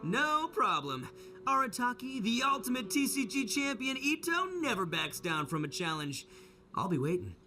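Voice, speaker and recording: A man's voice: very high-pitched, very animated, moderate pace, bright, forceful tone, faint music behind, exaggerated voice. A man playing a brash, boastful young oni gang boss in a video game, with bombastic, slangy, theatrical delivery. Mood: eager.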